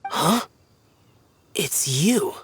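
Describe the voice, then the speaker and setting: A man's voice: fairly high pitch, lively intonation, drawn-out words, fairly bright tone, husky, no room sound, full character voice. A man voicing a stern, aloof immortal guardian in an anime video game, solemn, terse and formal. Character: stern, aloof immortal male guardian spirit in youthful form. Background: music.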